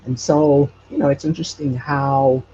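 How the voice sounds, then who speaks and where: A man from Hawaii telling stories casually as an interview guest, halting and searching for words as he goes. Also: mid-range voice, flat delivery, dark tone. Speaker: podcast guest telling local legends. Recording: dull lo-fi audio, faint noise.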